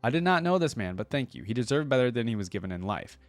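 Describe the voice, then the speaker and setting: A man's voice: mid-range voice, big pitch swings, fast, no room sound, soft background music, natural voice. A man reading the lines of a burdened, doubting young woman warrior leader from a story, solemn and hesitant. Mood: gentle regret.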